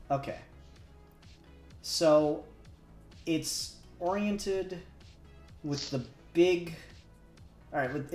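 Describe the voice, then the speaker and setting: Male streamer, unhurried, thinks aloud while he works; echoey room, faint music under. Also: mid-range voice, a little mellow. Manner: self-conscious, muttering to himself as he works. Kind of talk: casual live-stream talk while 3D modelling.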